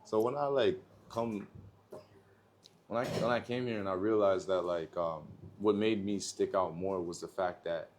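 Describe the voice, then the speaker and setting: A young man with a lowish voice talks like he's hanging out with a friend. He's slangy and loose, full of "like" and "you know," and trails into quick tangents. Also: a little mellow, slight background noise. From Canada. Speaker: rapper guest. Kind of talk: casual podcast chat.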